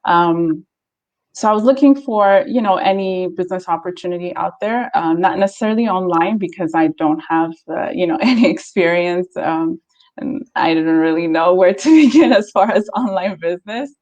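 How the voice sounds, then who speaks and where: A woman's voice: fairly low pitch, normal speed, darkish tone. A woman in her thirties from Ethiopia, telling her personal story casually as an interview guest, chatty with light laughter in her talk.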